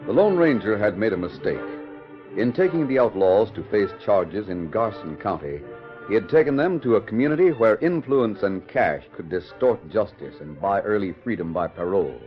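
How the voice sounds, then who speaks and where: Casting: dramatic old-time radio narrator, deep, measured and grave, faint music behind, muffled sound. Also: male voice, sing-song. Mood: solemn. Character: solemn radio western storyteller. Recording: dull old 1940s radio recording.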